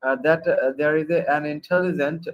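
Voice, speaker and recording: A man from Bangladesh calling into a live-stream debate, making a prepared case with many pauses and fillers. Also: fairly high pitch, steady tone, muffled recording. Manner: hesitant, many fillers, reads prepared points. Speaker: caller. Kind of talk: prepared argument in a call-in debate. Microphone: computer mic on a video call.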